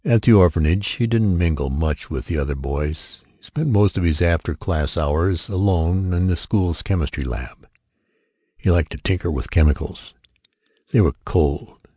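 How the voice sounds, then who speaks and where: A man narrating a short story in a measured, dramatic storytelling manner. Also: sits low, mellow, breathy edge, no room sound, studio quality. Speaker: audiobook narrator.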